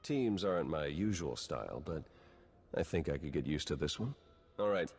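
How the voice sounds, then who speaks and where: Game spy agent, young man: low, rough-edged voice, dry sarcasm, driven, heard in long reverb. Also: animated, normal speed, soft background music, exaggerated voice. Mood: determined, wry. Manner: dry, sardonic. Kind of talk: video game cutscene acting.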